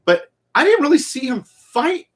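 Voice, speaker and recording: A man's voice: very high voice, sing-song, normal speed. A man hosting a film discussion, talking in a loose, colloquial and slangy way. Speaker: host of a live movie review show. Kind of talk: casual unscripted film discussion.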